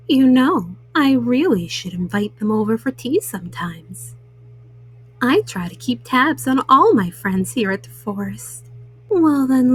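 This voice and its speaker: A woman telling bedtime stories to small children in a gentle manner, as a storyteller. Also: a little high, breathy edge, no echo, low-quality recording.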